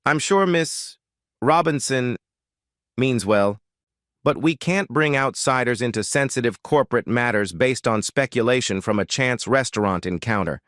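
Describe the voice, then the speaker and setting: A man's voice: mid-range voice, lively intonation, moderate pace, a bit bright, dry recording, clean studio sound, voice pushed up, lightly acted. A man voicing a composed, condescending female finance chief in an audio drama, formal and politely dismissive.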